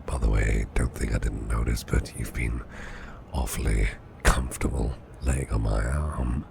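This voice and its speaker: A man playing a caring, protective businessman boss in an ASMR roleplay, speaking in a soothing, reassuring, breathy half-whisper. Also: a bass voice, steady tone, a little slow, background noise, exaggerated voice. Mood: tender, reassuring. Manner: soothing, reassuring, affectionate, gently murmured. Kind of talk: soft ASMR roleplay, personal attention.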